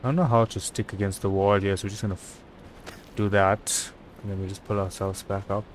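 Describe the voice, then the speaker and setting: A man's voice: low voice, level tone, brisk, slight background noise. A man doing live video game commentary as a streamer, casually muttering his thoughts aloud as he plays.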